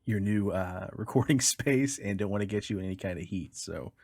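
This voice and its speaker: A man's voice: on the low side, a little fast, a little mellow, no echo, studio quality. A man co-hosting a casual comedy podcast, chatting in a profane, jokey, suggestive way. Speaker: podcast co-host.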